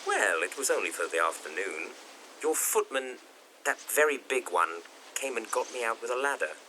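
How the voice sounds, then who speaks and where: An actor playing a modest young gentleman architect in a period audio drama, apologetic and hesitant in manner. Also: medium pitch, big pitch swings, moderate pace, slight background noise, low-quality recording. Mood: modest, dismissive.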